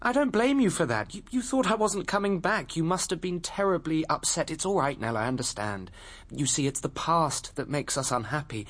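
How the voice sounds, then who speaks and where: An actor playing a fragile, impassioned young English soldier and husband in a radio drama, halting and stammering with emotion. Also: male voice, a little high, lively intonation, fairly bright tone. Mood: tender, hopeful.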